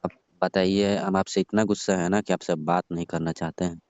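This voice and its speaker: Casting: male actor for jealous, sulky young lover in a call drama, dark monotone voice, complaining, dry lo-fi sound. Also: low voice, fairly quick, dry recording, natural voice. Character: sulking, jealous young lover of a married woman. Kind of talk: scripted prank-style phone call drama in colloquial Hindi. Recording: dull lo-fi phone call recording.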